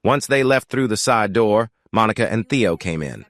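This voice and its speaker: A man giving scripted first-person story narration in an even, reflective way. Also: on the low side, moderate pace.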